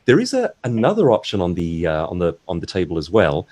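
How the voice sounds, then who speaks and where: Husky low-voiced man, very animated delivery. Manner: articulate, explanatory, measured phrasing. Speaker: author and speaker giving a writing seminar. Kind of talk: conversational seminar advice. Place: online video-call seminar.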